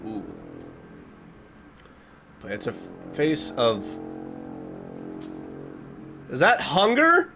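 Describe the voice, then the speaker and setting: A man's voice: a little high, huge pitch swings, very slow, pauses often, noisy background. A man giving casual reaction commentary, reading aloud and reacting expressively, drawing out words for emphasis. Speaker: reaction video host.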